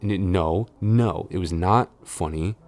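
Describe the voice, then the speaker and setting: Guy acting as a really low voiced tsundere office boyfriend, flustered n stammery, scolding but smitten, audio roleplay. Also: slow, a little mellow. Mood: worried, chiding. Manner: stammering, exasperated, defensive.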